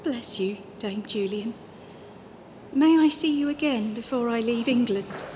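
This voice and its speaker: An actress plays a humble woman pilgrim in an audio drama. Her voice is fairly high yet mellow, soft and reverent, with faint noise behind it. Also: measured pace, natural voice. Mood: tearful, reverent. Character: humble, emotional female pilgrim visiting a holy woman.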